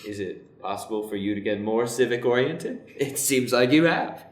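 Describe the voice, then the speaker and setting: A man's voice: mid-range voice, lively intonation, moderate pace, natural voice. A man playing a flippant, easily flustered wizard in an improvised comedic radio play, with a theatrical, hesitant manner. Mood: wry, casual. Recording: roomy echo with faint background noise.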